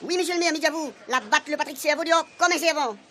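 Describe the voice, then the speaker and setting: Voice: high voice, rapid-fire, noisy background, close to an everyday voice. A performer with a Réunion Creole accent playing a garrulous, over-familiar police sergeant who loves puns, in a comic radio serial. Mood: familiar, teasing. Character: chatty, joking Réunionese police sergeant, relentless prankster.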